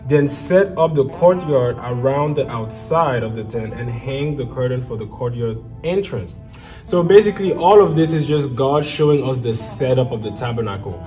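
A man's voice: mid-range voice, lively intonation, moderate pace, a little mellow, far from the mic, faint music behind. A man from Cameroon, a co-host and apostle, teaching on scripture in an earnest way that builds to fervent emphasis.

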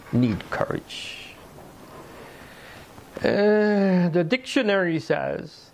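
Older male preacher, gravelly, animated pitch, small room, faint hiss. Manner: slow, deliberate preaching with pauses. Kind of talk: sermon. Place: small indoor room by a fireplace mantel.

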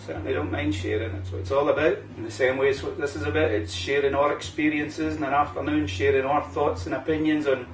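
Aberdeen scots guy in his fifties, laid back cigar chat, roomy room, bit far from the mic, soft music behind. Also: a little high, fast talker, few pauses. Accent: Scottish, Aberdeen. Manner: relaxed, chatty, local slang like "wee" and "aye". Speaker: cigar review host. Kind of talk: casual chat. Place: home bar room, indoors. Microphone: camera mic at table distance. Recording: roomy, distant camera mic, faint music.

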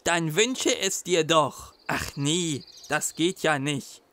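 A man's voice: fairly high pitch, animated, slow, pushed and bright, a little hiss or hum, heavily acted. A man voicing an eager young boy who is a wizard's apprentice in a children's audio drama, patiently explaining things. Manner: helpful, explaining.

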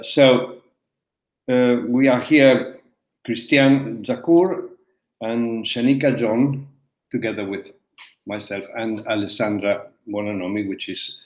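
A man in his sixties from Argentina, moderating a webinar over a video call in a halting way with many fillers. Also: on the low side, darkish tone. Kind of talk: opening remarks on a video call. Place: room at home. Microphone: laptop webcam on a video call. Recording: roomy video call audio.

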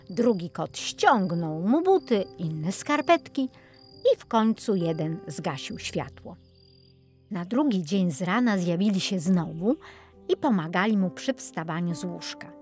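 A woman narrating a children's fairy tale as an expressive audiobook storyteller. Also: mid-range voice, a little slow, faint music behind.

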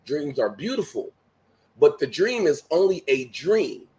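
A man's voice: a little high, very animated, a little slow, darkish tone, poor audio. Emphatic motivational man on video call. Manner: emphatic, preachy, repeats key phrases. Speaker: guest speaker. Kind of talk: motivational conversation. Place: home room, video call.

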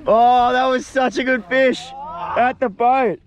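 An Australian man chatting excitedly while fishing on a boat, often repeating himself as he calls out. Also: extremely high pitch, unhurried, lo-fi sound. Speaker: host of a fishing and camping vlog. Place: outdoors on a small boat at sea. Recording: noisy outdoor boat recording.

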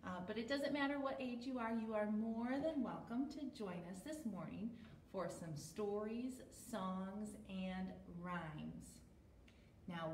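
High-pitched, sing-song American woman, slow-paced. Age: young adult. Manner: animated sing-song, exaggerated child-directed speech. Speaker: children's librarian hosting storytime. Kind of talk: toddler storytime talk to children and parents. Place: small bare room indoors at home. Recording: roomy, distant mic, background noise.

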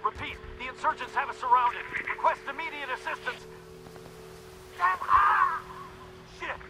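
A besieged soldier in a war game, desperate for help. His voice is very high and urgent, with loud gunfire and battle noise around him. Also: animated, unhurried, creaky, heavily acted. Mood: panicked, desperate. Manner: urgent, panicked radio calling.

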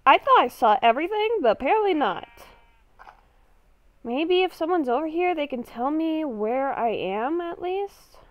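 A woman's voice: high voice, no room sound, muffled recording, heavily acted. A young woman improvising a Minecraft roleplay as a confused, lost young woman, hesitant and thinking aloud. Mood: bewildered. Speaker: gaming video creator.

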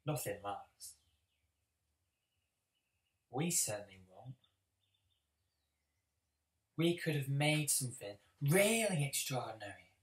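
Guy doing a brooding bitter schoolboy monologue, real slow and dramatic, kinda far from the mic. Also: medium pitch, very animated. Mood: bleak contempt. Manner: deliberate, heavy pauses, swelling from hushed to forceful. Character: bitter, brooding, apocalyptic schoolboy confronting a bully. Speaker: actor auditioning. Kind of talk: dramatic stage monologue for an audition. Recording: roomy, distant microphone.

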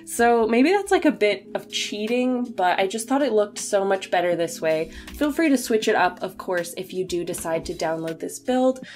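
A woman's voice: medium pitch, expressive, moderate pace, few pauses, darkish tone. A woman who is a bit sick, narrating a game build video in a casual, chatty, self-deprecating way. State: sick, voice slightly off. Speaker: gaming video creator, narrator. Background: background music under voice-over.

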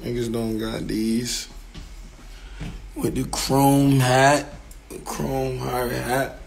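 A hoarse young man on a phone livestream, rapping over a beat and then chatting loosely with a slurred, laid-back delivery. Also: medium pitch, level tone, drawn-out words, a little hiss or hum. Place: home studio and house, indoors. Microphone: phone held at arm's length.

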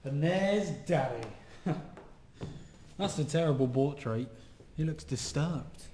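A man's voice: a little high, animated, slow pace, mellow. A man acting a wry, skeptical house visitor in a casual, conversational radio drama style. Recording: echoey room with faint noise.

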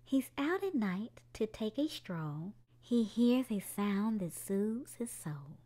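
A woman's voice: medium pitch, big pitch swings, takes it slowly, warm tone. A woman hosting a children's storytime, reading a picture book aloud expressively to young children, with music behind her.

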